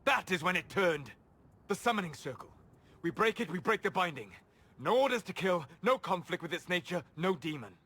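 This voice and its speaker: Controlled scholarly elf mage for a fantasy game, precise formal speech, bright forward voice, big echoey tail. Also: male voice, high voice, very animated, exaggerated voice. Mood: cold fury. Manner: measured, formal, precise phrasing. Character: calm, scholarly elven male mage, grave and controlled. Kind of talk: dramatic video game dialogue.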